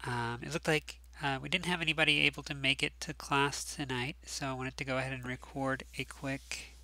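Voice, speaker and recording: A man's voice: medium pitch, fairly even intonation, brisk, bright, forceful tone, no room sound. A man teaching a recorded class lecture, sounding nasal and stuffed up from allergies, talking casually with lots of ums and restarts. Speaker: course instructor.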